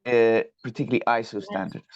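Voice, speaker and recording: A man from Colombia, giving a measured, polite academic lecture over a video call. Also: mid-range voice, fairly even intonation, darkish tone, no echo, faint music behind. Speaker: guest lecturer, structural engineer. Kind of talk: online lecture presentation. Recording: dull, dry video call audio.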